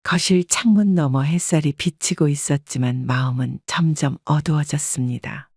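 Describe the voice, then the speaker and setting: A woman's voice: low-pitched, normal speed, a little mellow, no echo, studio quality. A woman reading a scripted first-person story as an audiobook narrator.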